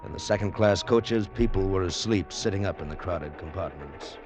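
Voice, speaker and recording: A man's voice: low-pitched, moderate pace, lightly acted. An actor playing a smitten, gallant traveler who narrates his train adventure in 1940s radio style. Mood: wistful narrating. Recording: vintage radio drama with organ music.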